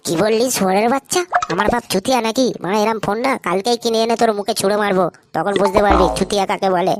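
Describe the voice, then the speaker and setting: A woman's voice: mid-range voice, quick pace, slight background noise, lightly acted. A woman voicing foul-mouthed, cocky young village men who taunt and swear in a comedy cartoon. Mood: angry taunting.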